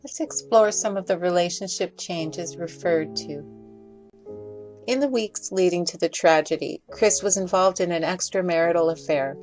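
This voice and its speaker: A woman's voice: fairly low pitch, normal speed, a little mellow. A woman reading a scripted critical commentary as a video essay narrator. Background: music.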